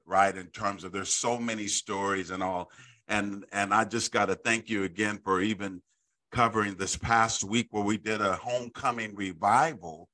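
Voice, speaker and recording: Bright-voiced middle-aged man hosting online. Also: fairly low pitch. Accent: Oklahoma. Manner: halting, repeats words, frequent amens. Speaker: bishop hosting a weekly online Bible study. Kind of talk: casual livestream hosting. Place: indoor office, room with a framed picture. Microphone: studio microphone in front of him on a video call.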